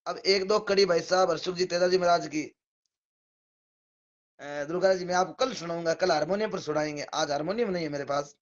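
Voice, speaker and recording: Hoarse Rajasthani man, lo-fi stream. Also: high voice, fairly quick. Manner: rattles off viewers' names with thanks and greetings. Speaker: devotional singer hosting a live stream. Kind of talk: casual live-stream greetings.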